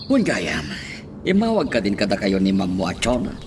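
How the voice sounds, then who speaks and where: An actor playing an authoritative boss giving orders to staff in a radio drama. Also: male voice, fairly low pitch, wildly swooping pitch, moderate pace, a little hiss or hum. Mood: stern.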